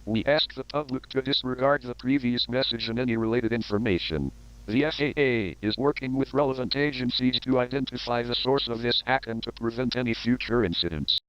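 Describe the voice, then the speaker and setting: A man delivering a formal, measured scripted official statement. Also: medium pitch, a bit bright, smooth. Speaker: official emergency announcer. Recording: dull lo-fi broadcast audio.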